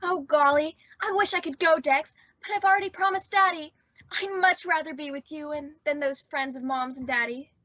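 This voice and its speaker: A woman's voice: high voice, moderate pace, pushed and bright, no room sound, highs cut off. A woman playing a dramatic, lovestruck and earnest teenage girl in an old-time radio comedy. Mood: lovesick, regretful.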